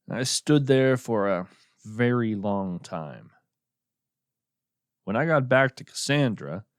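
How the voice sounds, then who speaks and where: A man playing a wry, musing naturalist who hosts a radio show of the uncanny, narrating in a measured, contemplative, folksy way. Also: lowish voice, a little mellow, no echo, clean studio sound. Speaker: podcast host and narrator. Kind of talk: scripted narration, reflective storytelling with poetry reading.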